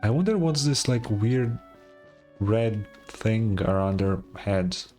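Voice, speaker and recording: A man's voice: fairly low pitch, lively intonation, takes it slowly, warm tone, soft background music. A man streaming a game, commenting casually and reading aloud in an informal, bemused way.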